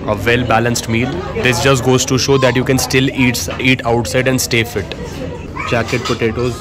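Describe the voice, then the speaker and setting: Flat-voiced man reviewing restaurant food. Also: medium pitch, moderate pace, over loud music, over a noisy crowd. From India. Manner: explains calories plainly, even-toned. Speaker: food vlogger. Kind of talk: casual food review narration. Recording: restaurant background noise.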